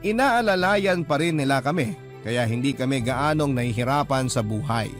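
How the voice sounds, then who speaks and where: A man reading out a young wife's reflective life story as calm, confiding radio narration. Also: medium pitch, moderate pace, soft background music, close to an everyday voice. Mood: reflective, wistful. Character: young wife and mother recounting her married life, reflective.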